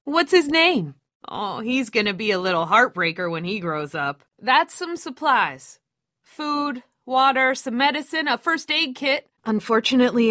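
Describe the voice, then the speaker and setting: A woman's voice: a little high, a bit bright, dry recording, clean studio sound. A woman voicing a kindly, apologetic survivor camp gatekeeper in a video game, with a folksy, motherly, hesitant manner. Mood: fond, cooing, then regretful, hesitant. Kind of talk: dramatic video game dialogue.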